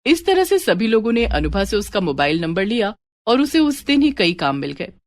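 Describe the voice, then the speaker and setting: A woman's voice: mid-range voice, sing-song, normal speed. A woman storyteller reading a scripted story as narration.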